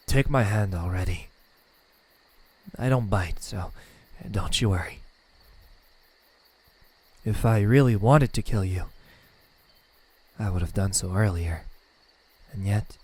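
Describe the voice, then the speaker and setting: Here is a man acting as a lonely immortal demon in an ASMR roleplay. His voice is low and raspy, and he speaks very slowly. He is menacing and condescending, yet halting, with a tender side underneath. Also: expressive, darkish tone, full character voice. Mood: coaxing. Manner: slow, halting, condescending speech with stammers and sighs. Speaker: voice actor.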